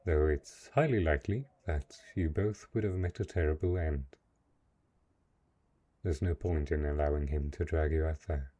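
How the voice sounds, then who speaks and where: Man acting a deep, gentle, lonely dark knight. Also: moderate pace, no room sound. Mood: quietly possessive. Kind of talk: slow, intimate fantasy audio roleplay.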